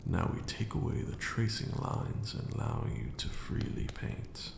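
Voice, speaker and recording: A man playing an ancient, haughty vampire lord and fond mentor in a slow, theatrical ASMR roleplay monologue, murmured in a deep, gravelly voice. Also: echoey room, noisy background. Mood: patient, instructive. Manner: theatrical, drawn-out, condescending.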